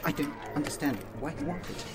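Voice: mid-range voice, a little fast, over loud music, lightly acted. An actor playing a fussy, polite, anxious protocol droid in a radio drama, with prim, formal, wordy speech. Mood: flustered, deferential. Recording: loud film score under the voice.

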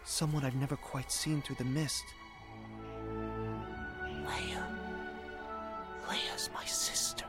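Man acting anguished young hero over loud music. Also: medium pitch, level tone, moderate pace, hardly any pauses, close to an everyday voice. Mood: searching, hushed. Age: young adult. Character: earnest young Jedi hero, anguished and conflicted. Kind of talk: radio drama acting. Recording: loud orchestral score behind.

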